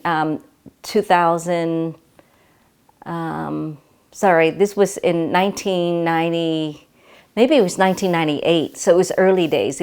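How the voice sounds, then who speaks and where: A middle-aged woman, recalling her past in an oral history interview, halting and reflective with many fillers and restarts. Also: low voice, a little mellow. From Vietnam.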